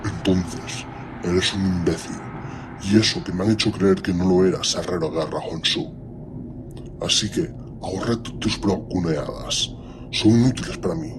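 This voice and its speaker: A man's voice: sits low, unhurried, background noise. A man playing an ancient superhuman warlord in an audio drama, speaking with cold, commanding disdain and menace. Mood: scornful. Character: ancient imperious superhuman warlord primarch, menacing and coldly condescending.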